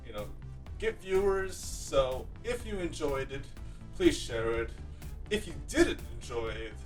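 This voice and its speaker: A man hosting a podcast in a casual, eager and effusive chatty style. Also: mid-range voice. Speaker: podcast host. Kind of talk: casual chat, interviewing.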